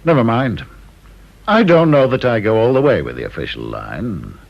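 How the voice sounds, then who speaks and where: Sardonic British security chief, gravelly, mock-polite radio drama villainy-free wit, dull old recording. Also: male voice, fairly low pitch, sing-song, normal speed, exaggerated voice. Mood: sardonic, probing. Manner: dry, teasing, mock-polite. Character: sardonic, talkative male senior security interviewer.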